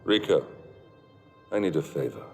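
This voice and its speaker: An actor playing a grim, terse mercenary leader with a grave manner in a cinematic video game scene. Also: male voice, lively intonation, moderate pace. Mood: grim, resolute. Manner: terse, grave, low-key. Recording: loud music under the voice.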